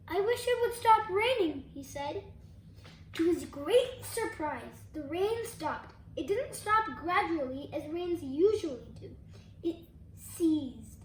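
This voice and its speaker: Child storybook reader, very high voice, careful delivery, recorded in an echoey room. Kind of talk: storybook read-aloud. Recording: echoey room, faint background noise.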